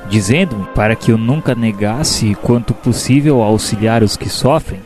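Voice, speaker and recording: An earnest, humble male narrator voiced by an actor in a radio drama. His mid-range voice is mellow and gentle, with solemn, formal phrasing. Soft music runs underneath. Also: mid-range voice, natural voice. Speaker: narrator and protagonist. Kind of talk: dramatized first-person scripted narration.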